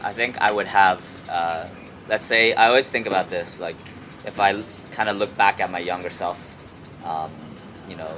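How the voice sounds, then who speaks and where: A young man from Hong Kong chatting casually as an interview guest, halting and slangy, often repeating words. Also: sits low, bright, forceful tone. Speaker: music artist, interview guest. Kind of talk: casual chat. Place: outdoors on an urban rooftop. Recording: clear outdoor city traffic noise.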